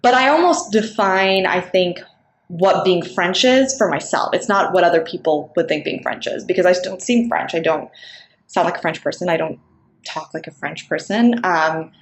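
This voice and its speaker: A young woman raised in Singapore with an American-sounding accent, speaking in a thoughtful, measured way with frequent ums in a recorded summit talk. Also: on the low side. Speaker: nonprofit co-founder. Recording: echoey room, faint background noise.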